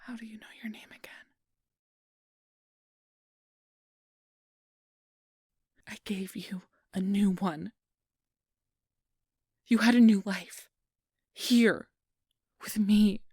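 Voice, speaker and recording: A woman's voice: medium pitch, fairly even intonation, slow, pauses often, warm tone, slightly rough, dry recording, studio quality. A woman playing a possessive, manipulative fairy in an ASMR audio roleplay, imperious and desperate, often whispering her commands. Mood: desperate, furious. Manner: whispery, commanding, swinging to pleading outbursts.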